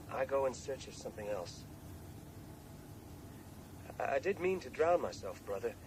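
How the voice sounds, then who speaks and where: An actor playing a soul-searching man confiding to his brother in a halting, earnest radio drama monologue. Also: mid-range voice, close to an everyday voice. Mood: confiding, wistful. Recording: noisy 1980s radio drama tape.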